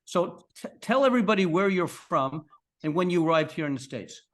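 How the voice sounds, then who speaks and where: Eager, higher-voiced male podcast interviewer. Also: lively intonation, brisk. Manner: eager, chatty questioning with stammered restarts. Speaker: podcast interviewer, league co-founder.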